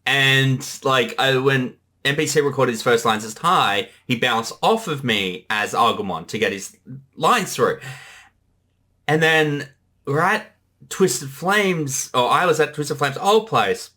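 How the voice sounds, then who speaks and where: A creator chatting casually through a commentary track, in an animated, rambling way. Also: mid-range voice, slow. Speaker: creator giving commentary, voice actor. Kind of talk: casual commentary chat between two creators.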